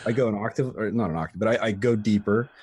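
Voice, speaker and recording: A man with a fairly low voice speaks in a loose, conversational way, circling back and restarting his sentences often. The sound is dry and close, with no room around it. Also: quick pace, darkish tone, slightly rough, no room sound. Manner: rambling with frequent restarts and fillers. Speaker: guest, tutorial creator. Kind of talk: casual interview chat.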